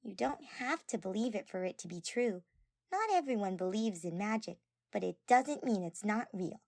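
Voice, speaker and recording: A woman voicing a shy, soft-spoken and earnest young girl, gentle and hesitant, in an amateur audio drama. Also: medium pitch, animated, moderate pace, gravelly, dry recording, studio quality, voice pushed up. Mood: earnest, tender.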